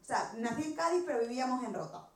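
High-voiced Spanish woman in an echoey room. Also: expressive, fast. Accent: Spain. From Spain. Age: 40s. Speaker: missionary speaker. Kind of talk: personal testimony to a church audience. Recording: echoey room, distant microphone.

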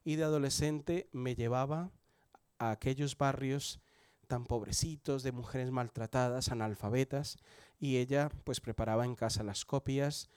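A man's voice: medium pitch, fairly even intonation, normal speed, a little mellow, clear, resonant tone, no room sound, clean studio sound. A man in his forties from Colombia, with a Colombian accent, giving his testimony at a church service in a conversational way, often asking the listeners questions. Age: 40s. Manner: conversational, asks the audience questions.

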